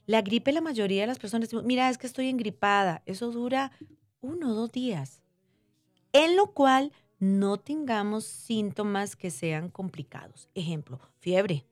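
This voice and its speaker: A woman's voice: medium pitch, animated, moderate pace, no echo. Woman doctor chatting thru health stuff for regular folks, checks in a lot, close dry sound. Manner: didactic, uses diminutives and check-in questions. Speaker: doctor interviewed as guest expert. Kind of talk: conversational medical explanation for lay listeners.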